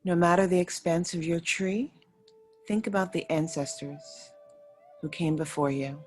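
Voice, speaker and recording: A woman leading a guided meditation as a yoga nidra teacher, speaking in soothing, unhurried short phrases with pauses between them. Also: lowish voice, fairly even intonation, darkish tone. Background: faint music.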